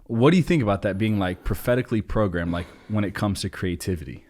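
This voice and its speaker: A man's voice: on the low side, fast, a little mellow. A young man hosting a church podcast, chatting in a laid-back, slangy way. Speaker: podcast host. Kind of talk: casual chat.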